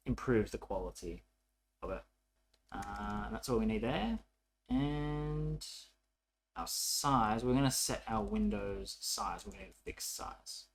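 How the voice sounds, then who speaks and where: A man presenting a programming tutorial in a casual, unscripted way, haltingly thinking aloud as he works. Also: mid-range voice, gravelly, slight background noise. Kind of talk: casual coding walkthrough, unscripted.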